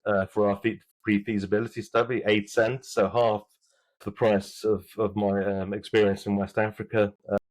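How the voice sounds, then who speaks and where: A man with a low voice gives interview answers about his work. He speaks haltingly, often restarting words. The sound is dry and close, with no room around it. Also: flat delivery, no echo, poor audio. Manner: halting, many restarts and fillers. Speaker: mining company executive, guest.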